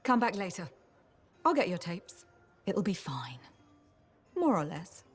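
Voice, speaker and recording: An actress playing a sly fixer who trades favors and teases with a wry, drawling manner, in a game cutscene. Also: female voice, on the low side, sing-song, unhurried, breathy edge, soft background music, full character voice. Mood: teasing. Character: sly female fixer trading favors, teasing. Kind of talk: video game cutscene acting.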